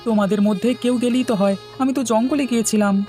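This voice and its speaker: A humble, hardworking young man in a cartoon answers his bossy brothers meekly and politely, as a woman voices him. The voice sits fairly high for the role, with light music running beneath it. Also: steady tone, quick pace, dark tone, natural voice. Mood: pleading. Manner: polite, submissive. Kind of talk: cartoon dubbing.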